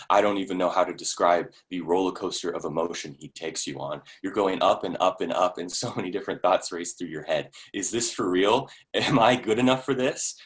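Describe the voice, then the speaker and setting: A man's voice: sits low, lightly acted. An actor playing an emotional, bitter and sarcastic man in a staged play reading. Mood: giddy, rattled. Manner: emotional, sarcastic, stammers when worked up. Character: volatile, bitter man caught in a love affair.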